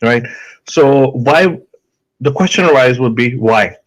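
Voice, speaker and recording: A man's voice: mid-range voice, slow, low-quality recording. A man with an Indian accent, a doctor in a live debate, explaining his points hesitantly with many fillers and restarts.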